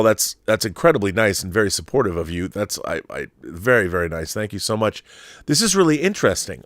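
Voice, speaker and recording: A middle-aged man hosting a casual livestream, talking emphatically and haltingly, often repeating words and rephrasing as he thinks aloud. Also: on the low side, a bit bright, no room sound. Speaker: livestream host. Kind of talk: casual live chat answering viewer messages.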